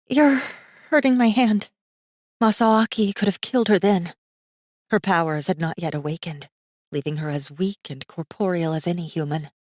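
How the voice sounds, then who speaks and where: Low-voiced woman narrating dramatic fiction. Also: no echo, clean studio sound. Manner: measured, suspenseful, deliberate pauses. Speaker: audiobook narrator.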